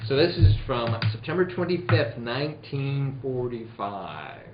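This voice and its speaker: A man's voice: mid-range voice, very animated, a little slow, over loud music. A man hosting and announcing a radio play reading, informal and wry, in a 1940s radio style. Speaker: host and announcer. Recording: echoey room, muffled, noticeable noise.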